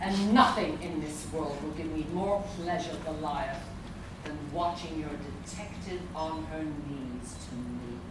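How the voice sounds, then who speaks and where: Woman acting a menacing gargoyle sorceress in an echoey hall. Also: sits low. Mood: gloating menace. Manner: theatrical, menacing, drawn-out delivery. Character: villainous female gargoyle sorceress, vengeful and gloating. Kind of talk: live staged radio play acting. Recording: echoey hall, distant mic, noisy.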